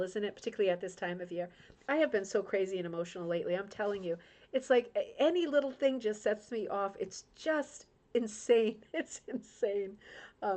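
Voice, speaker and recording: A woman's voice: medium pitch, a little mellow. A middle-aged woman from Rhode Island, hosting a crafting club livestream in casual, emotional chat and reading out viewer comments, with frequent tag questions. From Rhode Island, United States.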